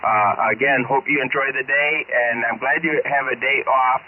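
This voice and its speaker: Thin ham radio transmission, slight static, older American man, halting casual chat, folksy, courteous. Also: mid-range voice, fairly even intonation. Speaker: amateur radio operator calling in. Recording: narrow noisy shortwave radio signal.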